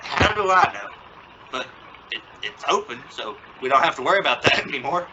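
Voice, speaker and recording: A man's voice: mid-range voice, sing-song, brisk, close to an everyday voice. A man playing an exasperated, sarcastic middle-aged father in an old-time radio sitcom, flustered and bluffing confidence. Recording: dull, noisy lo-fi radio play recording.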